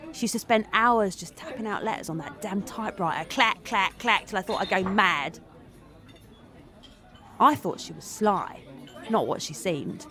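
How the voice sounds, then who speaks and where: A woman's voice: medium pitch, a bit bright, close to an everyday voice. A woman playing a gossipy, suspicious, hard-bitten companion with a wry, sardonic way of talking in an audio drama. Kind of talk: audio drama narration and acting.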